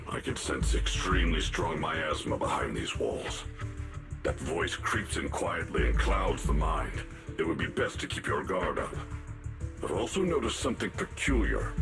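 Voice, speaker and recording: An actor playing a grave, solemn male warrior monk in a video game, speaking in slow, formal, weighty phrases. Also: extremely low pitch, exaggerated voice. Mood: grave, wary. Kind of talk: scripted video game voice acting. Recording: heavily echoing game audio with music.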